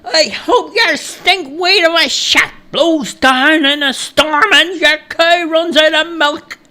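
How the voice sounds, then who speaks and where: A lost traveller in a storybook tale, pitched far up high and swinging about as he pleads. He sounds exasperated and confused, exclaiming in slow, stretched phrases. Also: very animated, fairly bright tone, exaggerated voice. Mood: angry. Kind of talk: storybook character voice.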